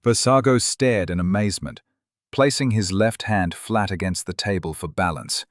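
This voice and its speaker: Audiobook narrator, man, low register, reading fantasy prose in a very dry studio-like sound. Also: normal speed, dry recording. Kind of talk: scripted fantasy story narration.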